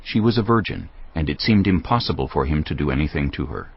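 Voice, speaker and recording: Male narrator, very low gravelly voice, scripture storytelling, slightly muffled with music behind. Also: animated, quick pace. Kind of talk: scripted dramatized Bible narration.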